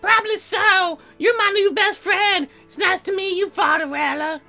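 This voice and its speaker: A man's voice: very high-pitched, steady tone, vocal fry, sharp tone, faint music behind, pitched above the performer's own voice, full character voice. A man voicing a ditzy, bubbly young woman colonist in a visual novel game, airheaded and drawing out her words. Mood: bubbly, friendly. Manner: airheaded, drawn-out words with many likes.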